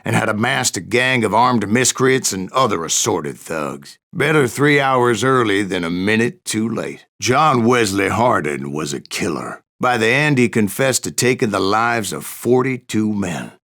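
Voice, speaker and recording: Gravelly old Wild West gunslinger. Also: male voice, fairly low pitch, animated, unhurried, hardly any pauses, a bit bright, exaggerated voice. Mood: wry, dramatic storytelling. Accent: American Western drawl. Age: older. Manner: folksy, salty, yarn-spinning. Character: grizzled old male bounty hunter, boastful Wild West storyteller. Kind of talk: dramatic first-person video game narration.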